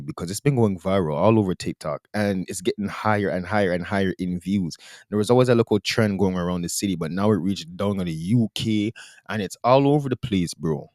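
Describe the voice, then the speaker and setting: Low-voiced Canadian man hosting a podcast. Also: darkish tone, dry recording, studio quality. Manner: slangy, rambling, plugs his business. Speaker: podcast host. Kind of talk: casual podcast monologue.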